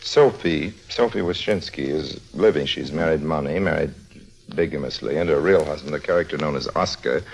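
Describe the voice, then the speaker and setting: A man's voice: very deep voice, normal speed, creaky, rough recording. An actor playing a wily, wisecracking con man in a 1950s radio drama, with a breezy, casual manner and sly asides. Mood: cagey, reporting. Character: wily wisecracking con-man and narrator of his own misadventures.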